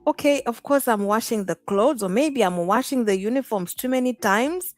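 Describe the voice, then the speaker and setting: A woman's voice: on the high side, normal speed, darkish tone, dry recording. A woman from Zimbabwe, hosting a casual live-stream chat in a bubbly, exclamatory way. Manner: bubbly, exclaiming, laughs often. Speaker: host.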